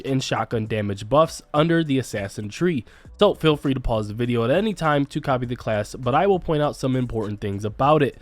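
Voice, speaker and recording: A young man, a bit raspy from being sick, walking viewers through a game build in a friendly, explanatory voice-over. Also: medium pitch, a little mellow, no room sound. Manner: friendly, explanatory, addresses viewers as you guys. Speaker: gaming channel host.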